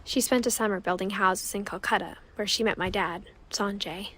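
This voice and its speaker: A young woman playing a reflective girl who narrates her family's sad history in a story game, quiet and measured. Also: mid-range voice, fairly even intonation. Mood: reflective, subdued. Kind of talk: scripted first-person game narration. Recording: clear background noise with clicks.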